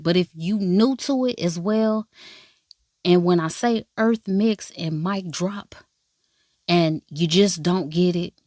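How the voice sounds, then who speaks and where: A woman vlogger gives her first impressions of a drama. She is chatty and emphatic, playfully swears, and calls her viewers "baby." The sound is dry and close, with no room echo. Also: on the low side. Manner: talks straight to viewers, calling them baby and y'all. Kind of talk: casual first-impressions review.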